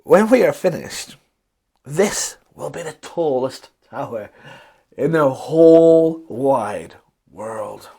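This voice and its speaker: Man doing boastful, grinning, slow-talking thin builder. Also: fairly high pitch, animated, gravelly, mellow, breathy edge, heavily acted. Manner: boastful, emphatic.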